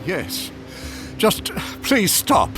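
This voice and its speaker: A man playing a desperate captive in an audio drama, pleading haltingly. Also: high voice, wildly swooping pitch, over music, exaggerated voice. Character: desperate, heavy-set male captive pleading.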